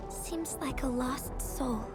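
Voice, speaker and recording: A woman playing an earnest, upbeat young female messenger in an anime-style video game, with an expressive, caring delivery. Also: high voice, slow. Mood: concerned, reflective. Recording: loud game music behind. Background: loud game music.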